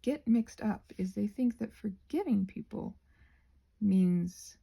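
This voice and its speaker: Soft, breathy, creaky-voiced rambling woman. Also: mid-range voice, lively intonation, slow pace. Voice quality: soft, breathy, often dropping to a whisper. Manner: musing aloud, gently reassuring, repeats phrases. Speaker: host of a craft and ASMR ramble channel. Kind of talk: casual rambling ASMR chat while crafting.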